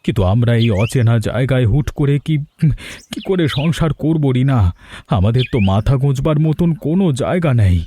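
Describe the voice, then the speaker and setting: A man's voice: mid-range voice, animated, fairly quick, dark tone, slight background noise, natural voice. A man playing a helpless, lazy young newlywed in a cartoon. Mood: downcast. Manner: pleading, plaintive.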